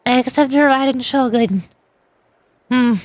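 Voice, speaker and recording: A woman streaming a game with playful, animated reactions and commentary while music plays. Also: high voice, slow pace. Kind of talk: game livestream, voicing characters and reacting.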